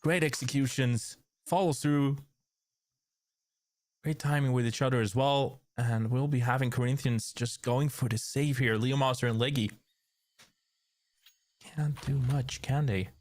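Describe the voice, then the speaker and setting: A man casting a live esports match, giving play-by-play commentary that gets louder and higher on big plays. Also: fairly even intonation, measured pace, pauses often, dry recording. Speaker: esports caster.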